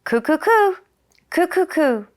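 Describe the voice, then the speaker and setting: A woman's voice: very high-pitched, expressive, slow pace, pitched above the performer's own voice, heavily acted. A woman voicing a poor, earnest village man in a children's puppet-show folktale, speaking in plain, simple declarations. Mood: excited.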